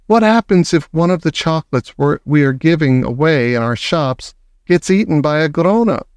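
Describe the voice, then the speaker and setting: A man's voice: fairly high pitch, lively intonation, normal speed, smooth, dry recording, pitched above the performer's own voice. A man voicing a timid witch in a crowd. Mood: deferential. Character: deferential female witch in audience.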